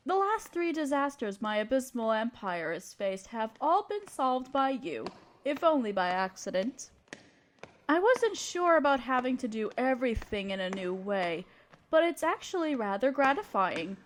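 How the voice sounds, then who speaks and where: Evil queen for fantasy audio drama, female performer: high-pitched, haughty and conniving, grand theatrical delivery, talks down to everyone. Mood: haughty, grudging. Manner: imperious, theatrical, condescending. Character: scheming evil queen, haughty tyrant gloating to her advisor. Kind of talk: scripted roleplay audio drama monologue.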